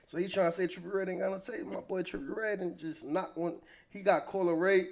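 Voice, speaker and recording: A man's voice: high-pitched, steady tone, fairly quick, vocal fry. An American man giving casual, opinionated hip-hop gossip commentary in slangy, conversational speech.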